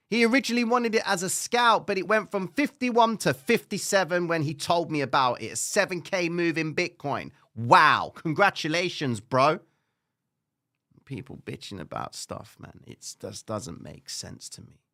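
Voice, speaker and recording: Cypriot guy hosting a trading stream, punchy bright voice, keeps saying "all right?", goes loud then mumbly. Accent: Cypriot English. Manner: swings from loud animated preaching to low muttering asides; casual, frequent "all right?" tag questions, occasional swearing. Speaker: livestream trading host. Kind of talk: live stream chat reading viewer comments.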